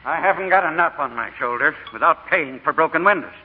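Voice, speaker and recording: Man as a grumpy American father, swinging pitch. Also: expressive, a little fast, dull audio, faint music behind, natural voice. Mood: exasperated, scolding. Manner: scolding, gruff. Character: grumpy, stern middle-aged father, exasperated by his son. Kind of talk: 1940s radio acting. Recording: reverberant, thin old radio broadcast.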